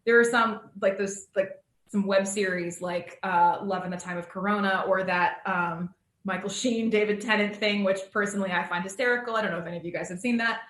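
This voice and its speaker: A woman's voice: medium pitch, fairly even intonation, fairly quick, a bit bright, reverberant. An American woman casually moderating a live panel over a video call, informal and hesitant as she thinks aloud. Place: indoor room, on Zoom call.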